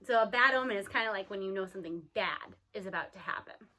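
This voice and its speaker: Bright-voiced woman reading a story aloud. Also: medium pitch, fast. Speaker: read-aloud narrator. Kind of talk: expressive storybook read-aloud with character dialogue.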